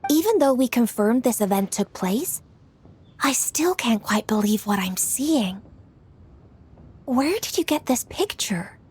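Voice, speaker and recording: Dutiful young official for a game: slightly high voice, serious, to the point. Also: female voice, lively intonation, unhurried, darkish tone, slightly rough, heavily acted. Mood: disbelieving. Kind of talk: video game voice acting.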